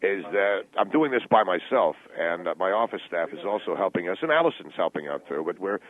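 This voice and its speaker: A man playing a self-justifying middle-aged businessman father, halting and repeating himself defensively on a talk radio comedy call. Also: low voice, animated, pitched below the performer's own voice. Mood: defensive, explaining. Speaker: phone-in guest. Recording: narrow, tinny phone line.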